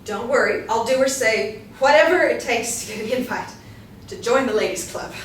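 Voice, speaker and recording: A woman's voice: a little high, normal speed, lightly acted. A woman playing a vain, hot-tempered rich hostess, emphatic and indignant, in a stage play reading. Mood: bossy. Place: small indoor room, seated with scripts. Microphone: distant camera. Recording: echoey room, distant mic, faint noise.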